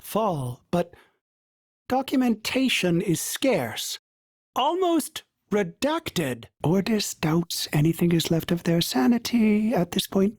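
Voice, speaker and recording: A man's voice: breathy edge, no echo, studio quality, full character voice. A man voicing a devoted, fussy male ship AI who speaks formally and calls himself by name in the third person. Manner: sudden jumps in pitch and speed, fussy and excitable. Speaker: game character voice. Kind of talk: video game voice lines.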